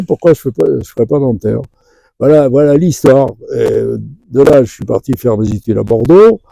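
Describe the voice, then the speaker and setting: Older man, interviewee: heavily creaky, very mellow timbre, good-humoured rambling storyteller. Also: medium pitch, no room sound. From Vendée region, western France. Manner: chatty, digressive, good-humoured. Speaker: interviewee, retired dental professor. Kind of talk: spontaneous anecdotal interview.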